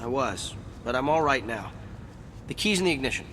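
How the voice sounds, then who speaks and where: A man's voice: mid-range voice, lively intonation, brisk, a bit bright, a little hiss or hum, close to an everyday voice. An actor playing a troubled, wry, self-deprecating businessman in an audio drama. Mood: polite.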